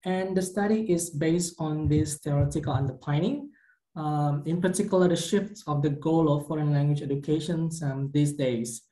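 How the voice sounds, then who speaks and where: A young man whose first language is Indonesian, a PhD candidate giving a measured conference presentation with occasional fillers. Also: on the high side, warm tone, echoey room, rough recording. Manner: careful, measured academic delivery with some fillers. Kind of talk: recorded conference presentation over slides.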